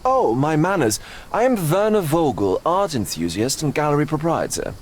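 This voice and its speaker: Genteel, wildly lilting art dealer. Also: male voice, fairly high pitch, sing-song, a little hiss or hum. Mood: courteous, suave. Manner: florid, teasing, drawn-out phrasing. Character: genteel, theatrical older male art dealer, probing and sly. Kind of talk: video game cutscene acting.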